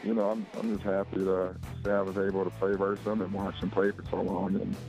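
Young male athlete guest on a dull phone line, deep and monotone, easygoing and rambling. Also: brisk, music in the background. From Chicago, USA. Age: 20s. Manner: laid-back, rambling with many fillers. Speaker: basketball player guest. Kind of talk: casual phone interview.

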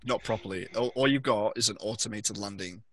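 Bright-voiced man running a tabletop game. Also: lowish voice, brisk. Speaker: game master. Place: indoors at home, remote group video call. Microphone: headset.

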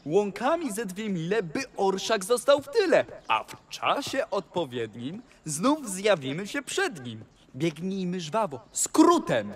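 A man playing a sly, boastful talking tomcat servant in a rhymed audio fairy tale, with theatrical, deliberate delivery. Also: high-pitched, big pitch swings, breathy edge, exaggerated voice. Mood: confident, scheming.

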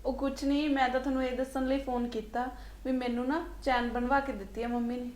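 A woman's voice: sits high, little pitch movement, brisk, natural voice. A woman with a Punjabi accent playing a proud, bossy elder daughter-in-law in a family drama. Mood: chatty, pleased. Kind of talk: colloquial domestic drama acting. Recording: roomy, echoing sound.

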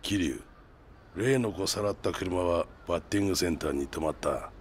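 Man as a very deep, composed underworld informant. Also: normal speed, a little hiss or hum, natural voice. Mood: composed, knowing. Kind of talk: dramatic video game acting.

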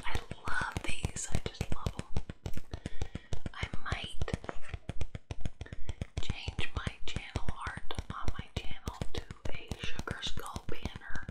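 Whispering middle-aged woman, soft and breathy. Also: rough recording. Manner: soft, unhurried, halting phrases. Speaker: ASMR video creator. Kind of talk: whispered ASMR. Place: small room indoors with a wood-panel backdrop. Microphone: close tabletop microphone.

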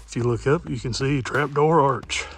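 A man's voice: medium pitch, moderate pace. A young man narrating his hike outdoors in a forest, talking casually into a phone held at arm's length, with plenty of "uh" fillers. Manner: relaxed, practical, many "uh" fillers. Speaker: hiking vlogger.

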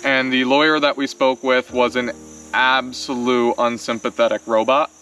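A young man, a vlog co-host, explaining outdoors in a wooded clearing in a casual, exasperated way, full of "like"s. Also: mid-range voice, little pitch movement, sharp tone, a little hiss or hum. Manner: exasperated, fast explaining with many "like"s. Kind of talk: casual chat to camera. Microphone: camera-mounted mic, later handheld selfie camera. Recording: outdoor camera audio from a little distance.

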